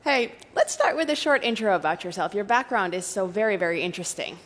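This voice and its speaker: A woman's voice: medium pitch, lively intonation, fast. Enthusiastic woman host on stage. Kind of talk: live stage interview. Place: round live stage, reverberant hall. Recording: long hall reverb from a live stage.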